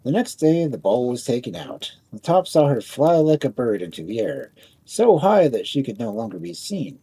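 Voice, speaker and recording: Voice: medium pitch, very animated, moderate pace, dark tone, breathy edge, no echo, low-quality recording. A storyteller reading a scripted fairy tale as narration.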